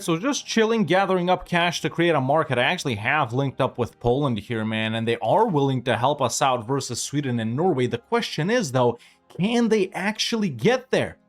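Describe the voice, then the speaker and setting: A young man giving casual gameplay commentary, relaxed and chatty, thinking aloud with many fillers. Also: medium pitch. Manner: lively and upbeat when asking viewers to like and subscribe. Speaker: gaming YouTuber.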